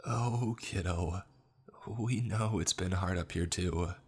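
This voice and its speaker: A man voicing a raspy, gentle elderly ghost grandmother with a soothing manner, in a horror story. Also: deep voice, slow pace, exaggerated voice.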